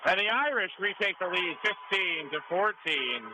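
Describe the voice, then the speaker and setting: A man's voice: sits high, lively intonation, normal speed. A man calling live volleyball play-by-play for radio in clipped short calls that rise on big plays. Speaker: sports play-by-play announcer. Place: school gymnasium. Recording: noisy live gym broadcast. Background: gym ambience, cheering student sections.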